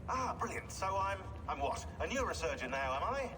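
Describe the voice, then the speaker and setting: Distant, sardonic team member. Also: male voice, high-pitched, sing-song, brisk. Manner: dry sarcasm. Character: sardonic, impatient male covert team member. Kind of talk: naturalistic video game acting. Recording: distant, clearly noisy room ambience.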